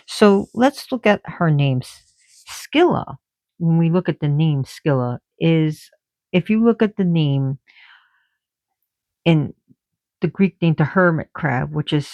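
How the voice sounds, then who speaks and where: A woman giving a casual spiritual talk about myth and oracle cards, halting often and restarting as she searches for words. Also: deep voice, expressive, darkish tone, no room sound. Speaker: oracle card reader.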